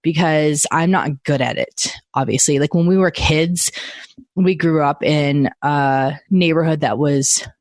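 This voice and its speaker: A woman in her thirties co-hosting a casual podcast, chatty and quick to laugh, often trailing off mid-sentence. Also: deep voice, darkish tone, dry recording. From United States. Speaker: podcast co-host. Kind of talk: casual chat.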